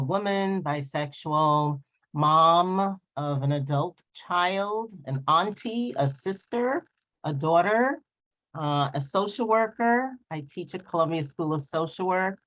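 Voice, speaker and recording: A woman from Guyana, a social work professor, introducing herself at an unhurried pace on a video call. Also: sits low, fairly bright tone, lo-fi sound. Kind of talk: casual self-introduction.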